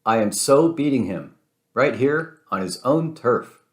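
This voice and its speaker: A man's voice: mid-range voice, lively intonation, unhurried, echoey room, lightly acted. A man voicing a confident, friendly race car in a children's storybook, cocky but good-natured in manner.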